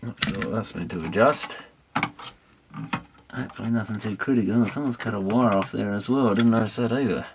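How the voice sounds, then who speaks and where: Australian man, lowish voice, offhand workbench commentary, musing to himself, noisy recording with clicks. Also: a little fast. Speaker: electronics repair hobbyist. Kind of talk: casual rambling workbench commentary.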